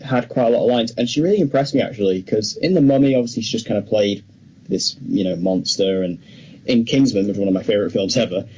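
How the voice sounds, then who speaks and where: A man's voice: on the low side, quick pace, mellow. A young British man co-hosting a film podcast, chatting casually as he reviews movies. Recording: clearly noisy background ambience.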